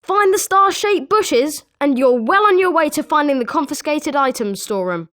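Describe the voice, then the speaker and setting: A woman's voice: high voice, expressive, normal speed, a bit bright. A woman voicing a chatty, opinionated young student at a wizard school in scripted video game lines. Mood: helpful, eager.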